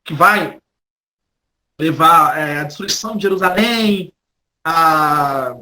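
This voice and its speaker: Bright-voiced Brazilian man lecturing. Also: a little high. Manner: halting, repeats and rephrases words. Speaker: history professor, guest lecturer. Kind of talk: academic lecture, spoken off the cuff.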